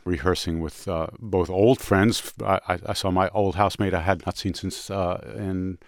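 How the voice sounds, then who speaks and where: A middle-aged or older man hosting a music show, telling personal stories in a casual, reflective, halting way. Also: sits low, fairly even intonation, no echo, clean studio sound.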